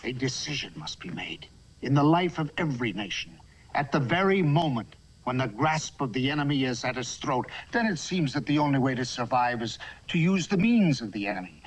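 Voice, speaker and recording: A man playing a grave, principled judge in a dramatic film speech, measured and oratorical. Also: on the high side, very animated, rough recording. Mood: impassioned, grave. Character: grave, principled judge delivering a solemn verdict.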